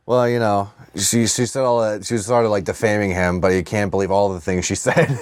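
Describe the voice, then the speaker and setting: A man's voice: fairly low pitch, steady tone, normal speed, clean tone, no echo. A man co-hosting a comedy podcast, riffing casually with an irreverent, sardonic manner and frequent laughter. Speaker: podcast co-host.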